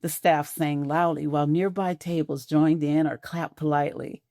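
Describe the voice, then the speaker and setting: Woman narrator, low voice, measured intimate telling, dry close mic. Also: steady tone, warm tone, dry recording. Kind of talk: scripted audio drama narration.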